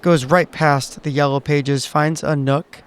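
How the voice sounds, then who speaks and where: A man's voice: on the high side, steady tone, unhurried, clear, resonant tone. A man playing a tabletop game, casually improvising and describing his character's actions, somewhat hesitant and repeating words.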